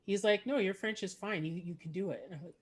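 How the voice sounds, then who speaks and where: A woman's voice: mid-range voice, quick pace. A woman hosting a casual chat, talkative and laughing between phrases. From Canada. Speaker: podcast host, comedian.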